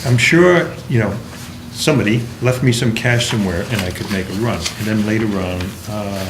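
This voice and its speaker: Hesitant low-voiced man. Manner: hesitant, halting with fillers. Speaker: writers' group member. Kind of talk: casual critique discussion. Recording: roomy table recording with background noise.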